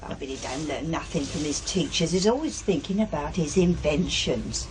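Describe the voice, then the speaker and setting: Harried English working-class mother for radio drama: blunt, nagging, very animated delivery, faint background noise. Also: low voice, normal speed. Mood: scolding, dismissive. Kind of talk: naturalistic radio drama acting.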